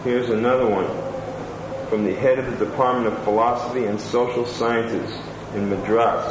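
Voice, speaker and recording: A man's voice: mid-range voice, steady tone, moderate pace. A man reading letters and reviews aloud, plain and attentive, adding his own asides. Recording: roomy room with clear background noise.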